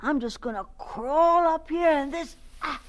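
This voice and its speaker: Very high-voiced homeless farm boy. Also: a little slow. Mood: hushed, weary. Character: homeless ten-year-old farm boy, earnest, pleading, timid. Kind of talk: radio drama acting.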